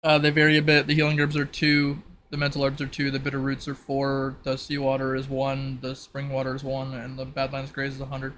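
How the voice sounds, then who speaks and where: A man's voice: mid-range voice, completely flat delivery, brisk, hardly any pauses, fairly bright tone, slight background noise. A man running a tabletop roleplaying game as game master, casually explaining rules in a conversational way.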